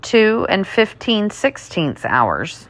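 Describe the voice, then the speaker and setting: A woman teacher reading math test questions aloud, deliberately, item by item. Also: medium pitch, huge pitch swings.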